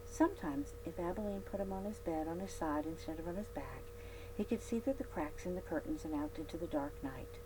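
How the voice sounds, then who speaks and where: A woman with a low voice reads a children's story aloud for a library. Her phrasing is expressive, with emphatic stresses on key words. A clear, steady hum and noise sit behind her. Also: a little fast. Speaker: library read-aloud host. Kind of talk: scripted storybook narration.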